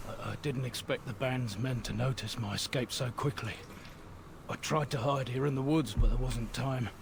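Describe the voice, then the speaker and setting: An actor playing a wounded fugitive soldier and former royal guard in a fantasy game, earnest and weary with halting delivery. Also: male voice, mid-range voice, steady tone, gravelly, noisy background, natural voice. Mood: resigned, strained. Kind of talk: dramatic fantasy game acting.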